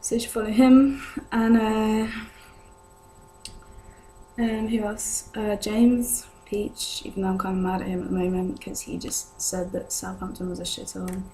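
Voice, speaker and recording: A woman's voice: medium pitch, flat delivery, a little slow, warm tone, faint music behind. A young British woman with a sore throat chats casually and hesitantly to camera, rambling as she goes, in a vlogger's manner. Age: young adult. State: ill with a sore throat, voice lower than usual. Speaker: vlogger answering viewer questions. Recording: noisy home recording with hum.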